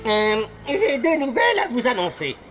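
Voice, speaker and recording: An actor playing a brisk, falsely cheerful, bossy quack doctor in a French cartoon dub, theatrical and patronising. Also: male voice, extremely high pitch, huge pitch swings, a bit bright, soft background music, heavily acted.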